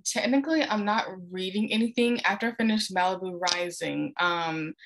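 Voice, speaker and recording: A teenage girl co-hosting a book podcast, with a somewhat low voice. She talks casually and hesitantly, with frequent ums and small self-corrections. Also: a bit bright. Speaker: podcast co-host.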